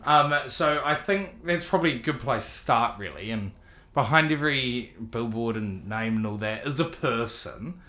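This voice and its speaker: Bright-voiced man in an echoey room. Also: mid-range voice, animated, moderate pace. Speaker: interviewer. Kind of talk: interview.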